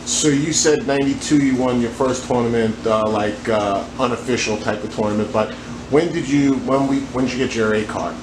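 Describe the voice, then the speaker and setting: A man's voice: mid-range voice, brisk, a crowd in the background. A man interviewing a guest, asking short, laid-back questions and adding brief backchannels. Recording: roomy, noisy room.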